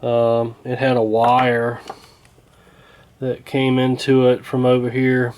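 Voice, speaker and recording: A man's voice: medium pitch, monotone, takes it slowly, darkish tone, a little hiss or hum. Folksy man, hesitant delivery. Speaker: radio repair hobbyist, presenter. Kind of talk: casual hands-on repair commentary.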